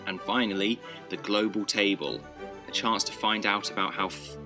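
A young man co-hosting a casual food podcast, explaining things in a measured way with frequent restarts. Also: lowish voice, music in the background. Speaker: co-host. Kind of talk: casual chat.

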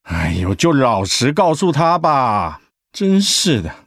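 An exasperated middle-aged father in a radio drama. He speaks Taiwanese Mandarin with very varied pitch and a sighing, doubtful manner, in a dry recording. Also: sing-song, takes it slowly, fairly bright tone, no room sound, exaggerated voice.

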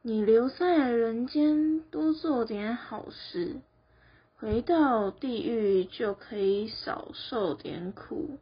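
A woman's voice: on the high side, slow, slightly rough, full character voice. A teenage girl playing a solemn, merciful goddess who counsels the dead in an amateur radio drama. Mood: solemn, admonishing. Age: teens. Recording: dull lo-fi student recording.

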